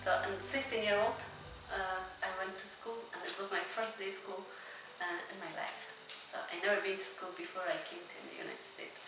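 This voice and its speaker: A woman in her twenties, a college student, telling her story in an interview with many pauses and fillers. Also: medium pitch, level tone, distant mic, background noise. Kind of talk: personal interview. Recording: echoey room from afar, music bed.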